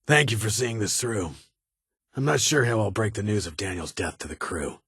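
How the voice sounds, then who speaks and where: An actor playing a stern, weary security chief in a video game, with a husky voice and a formal, clipped, authoritative manner. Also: male voice, lowish voice, sing-song, moderate pace, a bit bright, no echo, studio quality, heavily acted. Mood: formal, businesslike. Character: stern, weary male vault security chief, guarded but honorable.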